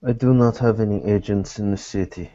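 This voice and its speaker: A man speaking in character in a tabletop roleplay, with formal, measured wording, on muffled low-fidelity audio. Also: dark tone. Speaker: game master playing a character in a tabletop roleplay.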